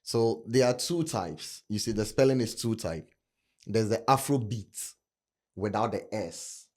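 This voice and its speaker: A man's voice: lowish voice, expressive, a little slow, a little mellow. A man, a panel guest explaining his view firmly at length in a studio talk. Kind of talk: casual panel debate. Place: studio with woven cloth backdrop. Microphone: studio mic on a stand.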